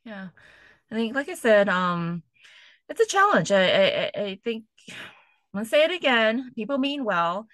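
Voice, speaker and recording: A woman's voice: fairly high pitch, sing-song, normal speed, fairly bright tone, no room sound. A middle-aged woman, a therapist guest on a podcast, talking in an earnest, hesitant way with many fillers. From United States. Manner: earnest, hesitant, laughs often. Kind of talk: casual podcast conversation.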